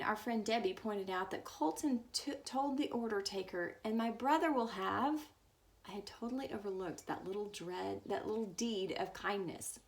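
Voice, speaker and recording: Lady reading a story out loud, medium pitch, trips on a word here and there. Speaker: story reader. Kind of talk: reading a book aloud.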